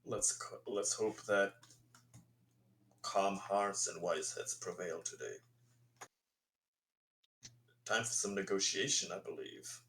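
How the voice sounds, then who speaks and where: A man's voice: low-pitched, slow pace, long pauses, a bit bright. A man talking casually as a player in a tabletop game. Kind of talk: casual chat. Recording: noisy mic with clicking.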